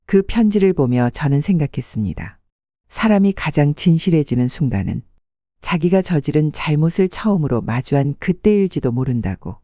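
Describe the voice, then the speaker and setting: Older woman, sixties, steady audiobook narrator, mellow tone with lively pitch, dry close sound. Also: moderate pace, dry recording. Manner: calm, steady storytelling.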